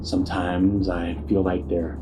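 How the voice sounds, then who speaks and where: Man playing a weary, low-voiced sardonic insomniac. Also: level tone, measured pace, over music. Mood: uneasy. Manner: sardonic, blunt, hesitant. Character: weary, sardonic struggling musician with insomnia, male. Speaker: caller to radio show. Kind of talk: audio drama dialogue.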